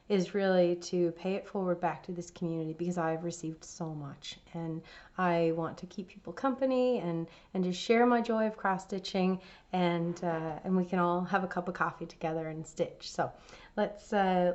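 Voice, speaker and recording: A woman hosting a cross-stitch vlog, her voice raspy from laryngitis, chatting casually with many fillers and restarts. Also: on the low side, steady tone, moderate pace, darkish tone, muffled recording. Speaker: cross-stitch channel host.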